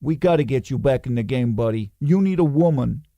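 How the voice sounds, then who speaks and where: Man acting a cocky, animated wisecracking buddy. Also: mid-range voice, fairly quick, dry recording, pitched below the performer's own voice, close to an everyday voice. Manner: brash, bantering. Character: cocky womanizing male best friend, teasing wisecracker.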